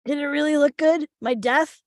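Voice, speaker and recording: Woman doing a mouthy teasing little dude, high voice, cheeky, dry sound, script read. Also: normal speed, no echo, close to an everyday voice. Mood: eager.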